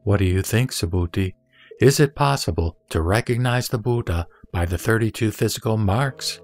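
A man playing the Buddha as a serene teacher, speaking in a measured, solemn way in an audio drama of scripture. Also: lowish voice, natural voice. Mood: gently correcting. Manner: measured, solemn, didactic delivery. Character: the Buddha, serene male teacher instructing a disciple. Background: background music.

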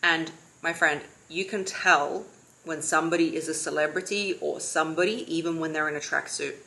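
A woman in her thirties giving casual advice to camera from her living room sofa, in a calm, steady, self-described monotone. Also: low voice, normal speed, rough recording. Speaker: vlogger and confidence coach.

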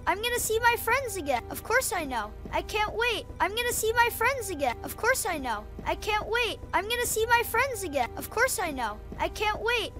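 A woman voicing an eager, curious young boy living on a spaceship in an animated film. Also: extremely high pitch, heavily acted.

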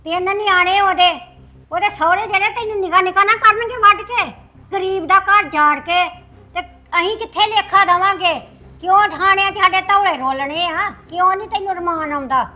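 A woman's voice: high voice, moderate pace, fairly bright tone. A woman playing an elderly mother in a family drama, plaintive and imploring, speaking. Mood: scolding. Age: older. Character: pleading, scolding elderly mother of a wayward son.

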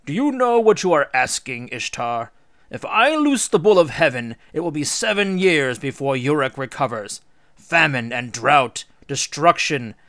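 A man playing an old father god in a storybook myth, questioning and admonishing in a measured way. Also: mid-range voice, animated, sharp tone, no echo, clean studio sound. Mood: grave warning. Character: dignified old father god, concerned and reproving.

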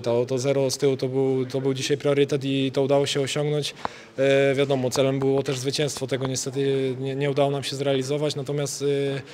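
Flat-voiced young footballer after a match. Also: male voice, mid-range voice. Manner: hesitant, repeats words, many fillers. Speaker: football player. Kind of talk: post-match interview. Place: stadium interview area before sponsor wall. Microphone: handheld reporter mic.

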